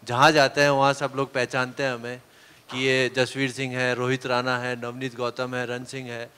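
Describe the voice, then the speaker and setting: A man answers reporters as team captain, his speech earnest and full of hesitant fillers. His voice is smooth and clear, and it carries the long reverb of a hall. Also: mid-range voice, monotone, normal speed, bright, forceful tone. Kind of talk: press conference answers. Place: press hall.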